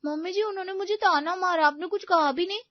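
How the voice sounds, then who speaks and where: A woman's voice: extremely high pitch, fast, voice pushed up. A woman voicing a childlike, oversensitive young newlywed bride in a whiny, pouting story voice. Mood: pouty. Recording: slightly dull, dry recording.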